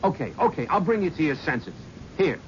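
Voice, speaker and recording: An actor playing a cynical young talent-agency gofer in a 1970s radio drama, narrating and talking in a brusque, flippant, wisecracking way. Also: male voice, on the high side, very animated, brisk. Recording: muffled old radio broadcast, faint hiss.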